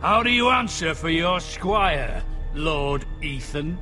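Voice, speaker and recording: Nasty blustery game lord, middle-aged, bright forceful voice, sneering, bit of music behind. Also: male voice, fairly high pitch, lively intonation, takes it slowly, full character voice. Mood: accusing. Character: hostile, foul-mouthed middle-aged lord, gloating and quick to rage. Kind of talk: dramatic video game acting.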